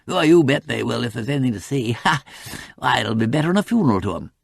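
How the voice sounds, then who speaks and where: A man's voice: mid-range voice, sing-song, fast, creaky, slightly rough, no room sound, clean studio sound, voice pushed up, exaggerated voice. A man voicing a tactless young aristocrat who blusters and stammers in upper-class slang. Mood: blustering.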